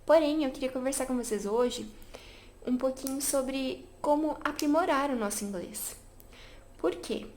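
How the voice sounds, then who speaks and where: A woman's voice: high voice, unhurried, a little mellow, slight background noise. A woman chatting casually to camera about learning languages, with many fillers and an upbeat, talkative manner. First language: Portuguese. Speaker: language vlogger.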